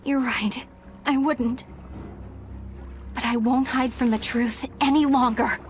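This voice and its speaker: A woman's voice: high voice, fairly even intonation, slow, soft background music. An actress voicing an earnest, determined young exorcist woman in a dramatic video game, speaking formally and resolutely. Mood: grave, resolute. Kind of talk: dramatic English game voice acting.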